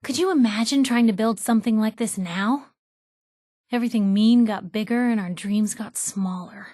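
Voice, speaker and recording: A woman's voice: fairly high pitch, normal speed, dark tone, no echo, clean studio sound, lightly acted. A woman playing a wry, inquisitive young reporter companion in a video game, with an American accent and a chatty, sarcastic way of talking. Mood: curious, musing. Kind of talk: video game companion dialogue, conversational asides.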